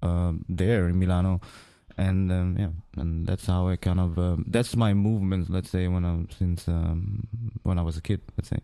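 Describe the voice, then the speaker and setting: Deep-voiced man, hesitant and halting. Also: level tone, creaky, dark tone, dry recording. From Greece. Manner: halting, searching for words with many ums. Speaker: music producer guest. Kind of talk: interview conversation.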